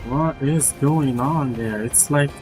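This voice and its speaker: A man's voice: mid-range voice, takes it slowly, poor audio. A man streaming a game and reacting live in a casual, informal, slangy way. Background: game music.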